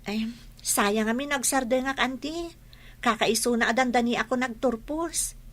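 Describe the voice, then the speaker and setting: A woman's voice: fairly high pitch, moderate pace, a bit bright. An actress with an Ilocano accent playing an earnest, respectful young female student in a radio drama.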